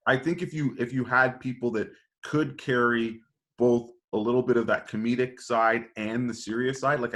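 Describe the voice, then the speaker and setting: A man on a podcast panel casually pitching ideas, hesitant as he thinks aloud. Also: fairly bright tone.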